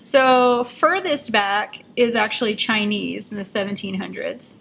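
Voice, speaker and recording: A woman's voice: mid-range voice, measured pace, gravelly, a bit bright. A woman co-hosting a show, explaining things in an informal, conversational way. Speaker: show co-host. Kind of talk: casual chat, explaining results. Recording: noticeable background noise.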